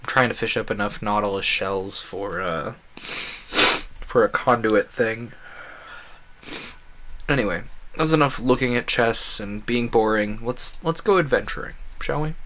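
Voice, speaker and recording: A man's voice: lowish voice, bright, forceful tone. A man livestreaming a game, chatting casually and thinking aloud in a rambling way. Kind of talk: casual gaming stream chat.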